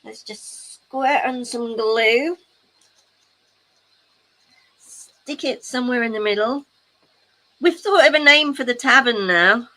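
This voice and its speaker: Woman, crafting stream host, slightly high voice, unhurried, teasing asides, casual chat. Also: bright, forceful tone, low-quality recording.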